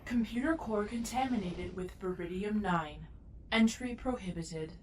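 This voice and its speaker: A woman voicing an impassive starship computer in an audio drama, making flat announcements. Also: mid-range voice, measured pace, lightly acted. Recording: distant, faint noise.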